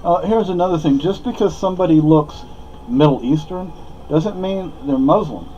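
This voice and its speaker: A man's voice: fairly high pitch, normal speed, dark tone, highs cut off, a little hiss or hum. A man from Spain, a native Spanish speaker, giving a rambling, opinionated monologue with many fillers and restarts. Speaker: vlogger.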